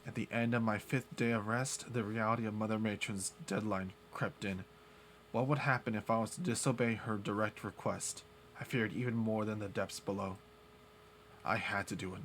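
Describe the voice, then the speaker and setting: A man streaming, reading a visual novel aloud in a steady way with small slips. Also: lowish voice, normal speed, slight background noise.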